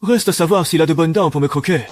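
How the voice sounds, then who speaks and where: A man voicing a cocky, mocking young superpowered fighter in a French dub of an animated action series. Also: a little high, quick pace, no room sound, natural voice. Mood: taunting. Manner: flippant, taunting.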